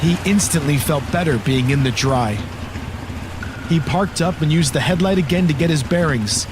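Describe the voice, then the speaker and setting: Male horror narrator amid loud background noise. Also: on the high side, moderate pace. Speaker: story narrator. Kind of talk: scripted horror audio drama narration. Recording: loud storm and engine sound effects. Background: loud storm and vehicle ambience.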